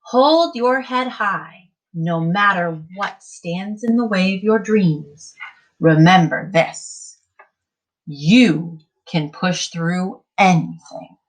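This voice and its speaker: Slow, encouraging woman reading to children. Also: on the low side, poor audio. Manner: encouraging, emphatic, addressing children directly. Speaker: teacher reading a picture book aloud to children. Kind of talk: children's read-aloud with encouraging asides.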